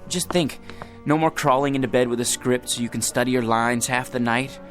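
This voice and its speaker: A man's voice: medium pitch, a little fast, soft background music, close to an everyday voice. A man playing a meek, stammering husband. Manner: hesitant, stammering, mild. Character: meek devoted husband of a film star. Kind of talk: radio drama acting.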